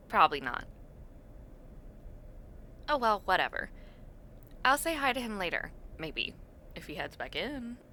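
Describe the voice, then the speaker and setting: A young adult woman playing a friendly, teasing university student in a casual audio roleplay, talking to the listener with expressive reactions and drawn-out words. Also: mid-range voice, a little hiss or hum, full character voice. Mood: chatty, playful.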